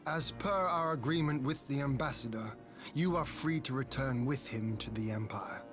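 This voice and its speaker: A man's voice: medium pitch, natural voice. A man playing a composed, courteous young lord in a video game, speaking formally and with resolve. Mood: firm. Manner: formal, measured, stately. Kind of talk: dramatic video game acting. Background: dramatic music.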